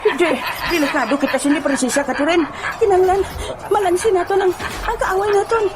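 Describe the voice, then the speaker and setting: A woman playing an excitable leader of sword fighters in a comedic audio drama. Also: extremely high pitch, a little fast, background noise. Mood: boastful, urgent. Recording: loud music bed behind voice.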